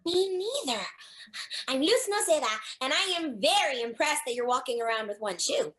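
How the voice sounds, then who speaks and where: An actress voicing an eager, earnest, nerdy teenage girl in a cartoon, with an excitable manner. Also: high voice, moderate pace. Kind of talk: animated cartoon table read.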